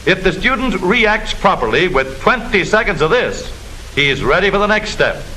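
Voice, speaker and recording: A bright, forceful American man narrates in the instructive, declamatory style of a 1940s military newsreel. His delivery swings widely in pitch. The old film soundtrack carries a light layer of noise. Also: sing-song, moderate pace, low-quality recording.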